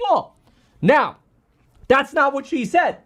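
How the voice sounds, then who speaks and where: A man reacts to a clip on a livestream, joking and pausing to point things out. His pitch swings widely with excitement. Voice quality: animated, joking.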